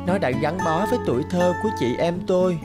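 A woman's voice: lowish voice, fast talker, close to an everyday voice. A woman voicing a stubborn, sincere young schoolboy in a cartoon. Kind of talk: cartoon voice acting. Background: music.

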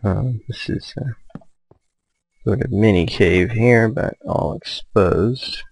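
A man giving casual, low-key let's play commentary, musing hesitantly to himself as he plays. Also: takes it slowly, gravelly, darkish tone. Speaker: gamer, let's play host.

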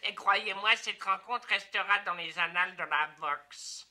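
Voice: mid-range voice, unhurried, sharp tone. An actor voicing a boastful, cocky young male bird in a cartoon, showy and self-assured. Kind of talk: cartoon dubbing.

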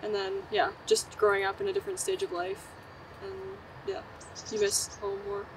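A woman's voice: mid-range voice, level tone, normal speed. Casual young Canadian-born woman. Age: 20s. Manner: casual, with likes and fillers. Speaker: student. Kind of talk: documentary interview. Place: outdoors on a bench among trees. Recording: distant mic, light outdoor noise.

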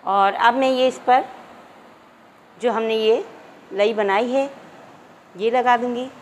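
A middle-aged woman with an Urdu accent calmly explaining a recipe step by step to viewers in a home kitchen. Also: a little high, slow pace, a little hiss or hum, poor audio. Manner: calm, instructive, addresses viewers as nazreen. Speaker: cooking channel host. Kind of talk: step-by-step cooking instructions.

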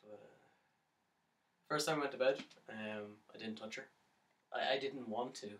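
A man performing a dramatic monologue as a lovesick, despairing young man, halting and stammering, on the edge of mania. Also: mid-range voice, natural voice. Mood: wounded, wistful. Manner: halting, stammering, nervous laughs breaking into anguished outbursts. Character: lovesick, despairing, manic young man pleading his case. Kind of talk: dramatic monologue for an audition tape. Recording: roomy pickup, distant from the mic.

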